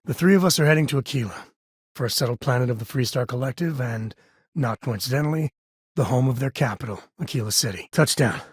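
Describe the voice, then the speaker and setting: A man playing a roguish, good-hearted space-faring ex-ranger and devoted father in a video game, speaking in a casual, wry, conversational way with a rough edge to the voice. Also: medium pitch, quick pace, no echo, clean studio sound, lightly acted. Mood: relaxed, matter-of-fact. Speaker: video game companion character.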